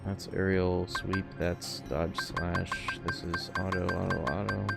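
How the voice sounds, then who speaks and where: Male game streamer, deep register, informal sweary chatter, rambling commentary over very loud music. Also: flat delivery, slow pace, vocal fry. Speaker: game livestreamer. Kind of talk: casual gameplay commentary and chat with viewers. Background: loud video game music.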